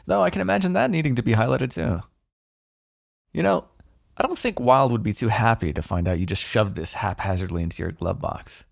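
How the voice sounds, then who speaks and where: A man's voice: mid-range voice, animated, fairly quick, creaky, no echo, clean studio sound. A man playing a smug, teasing, grudgingly fond vampire in an intimate ASMR roleplay, talking in a sardonic, conversational way. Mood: amused teasing.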